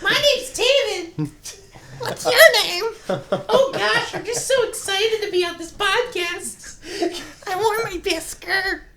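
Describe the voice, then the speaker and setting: A man putting on an excitable girly falsetto, giggling, to introduce himself. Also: takes it slowly, creaky, roomy sound, exaggerated voice. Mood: giddy.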